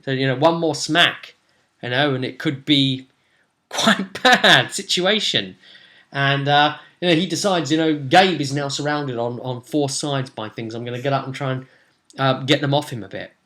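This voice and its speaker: Male, 20s, game master vlogger. Bright, forward voice, excitable rambling storyteller. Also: mid-range voice, moderate pace. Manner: rambling, excitable, acts out players' lines. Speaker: game master recapping a tabletop role-play session. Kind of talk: casual animated storytelling to camera. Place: small room at home.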